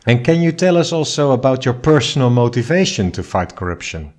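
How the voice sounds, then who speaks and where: A man's voice: mid-range voice, very animated, fairly quick, a little mellow. Formal male podcast host. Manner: formal, polite. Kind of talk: scripted podcast introduction and questions.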